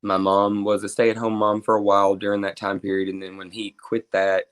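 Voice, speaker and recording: A man in his twenties with a Kentucky accent speaks as a guest, with a fairly low voice. He talks openly and at length, often using "like" and "um" as fillers. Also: monotone, fairly quick, clean tone. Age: 20s. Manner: open and talkative, with frequent fillers. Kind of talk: casual interview, telling his life story.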